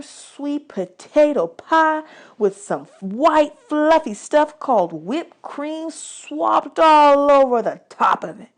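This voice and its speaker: A woman with a somewhat high-pitched voice reads a children's novel aloud. She delivers the first-person narration expressively, in the way of a teacher reading to pupils. Key words are drawn out for emphasis.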